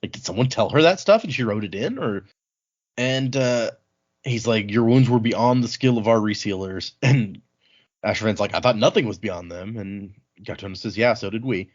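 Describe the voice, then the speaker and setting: A man's voice: lowish voice, normal speed, dull audio. A man co-hosting a book podcast, retelling a story in casual, colloquial chat and acting out bits of dialogue. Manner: informal, colloquial, voices characters' lines in paraphrase. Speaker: podcast co-host leading a book read-along.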